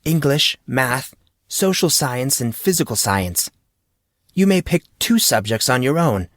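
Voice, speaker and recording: A man's voice: mid-range voice, expressive, unhurried, fairly bright tone, dry recording. A man voicing a formal, genial history teacher who talks in a precise, scholarly and wordy way. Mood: pleased, formal.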